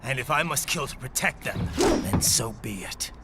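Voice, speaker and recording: An actor playing a grim, terse warrior with a killer's past in a video game, curt and brooding, with a gravelly voice. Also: male voice, medium pitch, lively intonation, normal speed, very noisy, natural voice. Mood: grim resolve. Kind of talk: video game cutscene acting. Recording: loud music over the dialogue.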